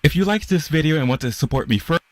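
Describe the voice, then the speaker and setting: Quick, lively man reading a promo. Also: fairly high pitch, faint music behind. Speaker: video creator asking for support. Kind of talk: scripted channel promo.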